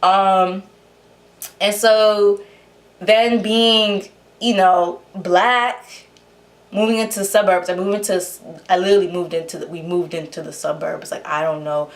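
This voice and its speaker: A woman's voice: medium pitch, steady tone, unhurried. Chatty young woman with a New York accent. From Honduras. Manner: chatty, lots of fillers and restarts. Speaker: vlogger. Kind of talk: casual storytelling to camera.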